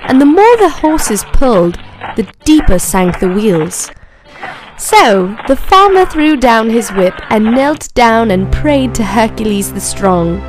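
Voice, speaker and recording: A woman with a fairly high voice reads a children's fable aloud in a slow, clear, even way. Background music plays plainly under her narration throughout. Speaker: storyteller. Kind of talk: scripted children's story narration.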